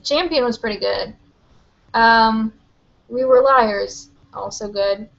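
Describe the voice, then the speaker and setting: A young woman hosting a live book Q&A from her webcam, chatty and rambling with lots of "like" and "um", her voice a little hoarse. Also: a little high, takes it slowly, muffled recording. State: slightly hoarse, losing her voice. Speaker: book vlogger. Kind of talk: live-stream Q&A.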